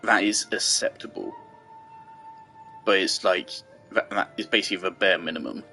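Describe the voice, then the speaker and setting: A man's voice: sits low, steady tone, normal speed, pushed and bright, soft background music. A man giving casual gameplay commentary, rambling and thinking aloud as he goes. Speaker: game streamer.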